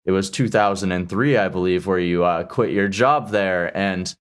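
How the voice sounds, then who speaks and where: Male interviewer, fairly low voice, casual and conversational, supportive and appreciative delivery. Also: moderate pace, clean tone. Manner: affirming, appreciative.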